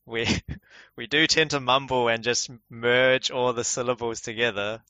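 Bright-voiced New Zealand man. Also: mid-range voice, fairly even intonation, unhurried, clear, resonant tone, no room sound, poor audio. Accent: New Zealand, Auckland. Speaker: podcast co-host. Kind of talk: casual chat.

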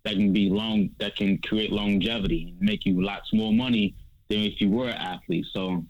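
Low-voiced DC guy chilling on a podcast, kinda muffled lo-fi sound. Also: animated, moderate pace, creaky. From Northeast Washington, D.C. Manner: laid-back, says "man" a lot. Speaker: podcast guest. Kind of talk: casual podcast chat.

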